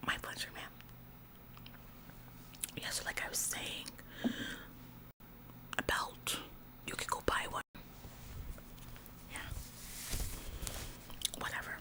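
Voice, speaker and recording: Female performer as self-important female store clerk, ASMR roleplay. Whispered, smug, nagging, halting and repetitive. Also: natural voice, low-quality recording. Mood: eager, important, then pushy, smug. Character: bossy, officious female store employee, smug tattletale.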